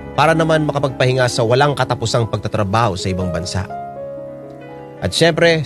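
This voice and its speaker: A man's voice: medium pitch, lightly acted. A man voicing a fifty-year-old former overseas worker who narrates his own story in a radio drama, with music under his voice.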